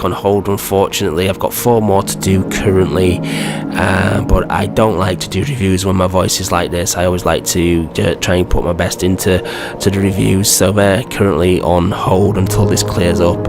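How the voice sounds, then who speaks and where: A man with a low, croaky voice, hoarse from a cold, rambling through opinionated vlog commentary. He keeps asking whether you know what he means, and music plays clearly behind him. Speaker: gaming video creator.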